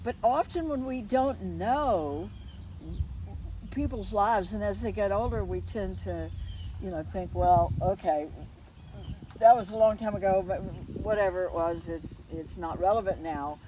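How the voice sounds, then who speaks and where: A woman's voice: medium pitch, measured pace, dark tone, rough recording. Female interviewer, medium register, discursive and conversational, winding sentences; noticeable background noise on the recording. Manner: discursive, thinks aloud in long winding sentences. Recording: clear background ambient noise.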